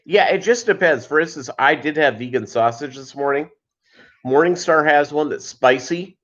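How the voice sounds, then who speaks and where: A man hosting a casual livestream, chatting with viewers in a halting way and wandering between asides. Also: a little high, a bit bright. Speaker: livestream host. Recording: dull lo-fi livestream audio.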